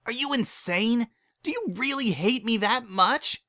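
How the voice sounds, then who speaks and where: An exasperated young noble unicorn mare, acted by a man, defiant and outspoken. Her storybook voice is high, bright and indignant, recorded dry. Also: unhurried, no room sound, heavily acted. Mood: outraged.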